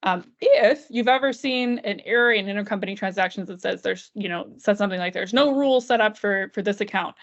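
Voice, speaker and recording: A woman with a mid-pitched voice leads a software walkthrough on a slightly dull, lo-fi recording. She explains each step aloud as a trainer would, keeping it informal and conversational. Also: fairly quick, few pauses. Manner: informal, walks through steps aloud. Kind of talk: screen-share software tutorial, explanatory and conversational. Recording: dull, band-limited lo-fi audio.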